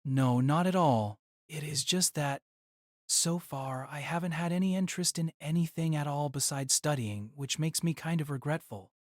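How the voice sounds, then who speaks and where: A man's voice: a little high, normal speed, dry recording, clean studio sound, close to an everyday voice. A man voicing an earnest, polite and hesitant young student in an audio drama. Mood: subdued, wistful. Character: earnest, hesitant teenage student speaking to his grandparents.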